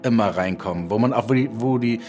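An author talking casually about writing, thoughtful, with halting restarts. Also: male voice, lowish voice, darkish tone, faint music behind. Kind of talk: casual interview talk.

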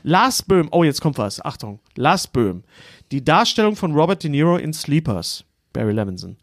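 A man's voice: a little high, big pitch swings, moderate pace, no room sound, studio quality. A man hosting a podcast, discussing films casually in colloquial language. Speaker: film podcast host. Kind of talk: casual film discussion, reads out listener submissions.

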